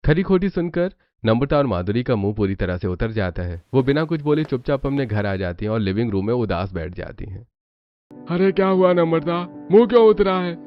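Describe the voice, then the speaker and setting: A man's voice: on the low side, very animated, brisk, hardly any pauses, faint music behind. Muffled male cartoon story narrator.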